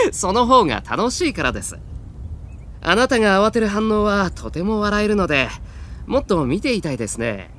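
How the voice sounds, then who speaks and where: Audio drama casting: sadistic prankster fairy, high voice, hugely varied pitch, polite yet mocking delivery. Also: male voice, huge pitch swings, moderate pace, pauses often, fairly bright tone, slight background noise, exaggerated voice. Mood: gleeful, mocking. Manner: polite speech with mocking amusement. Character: mischievous, sadistic male fairy, gleefully amused by humans. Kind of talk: anime-style audio drama acting.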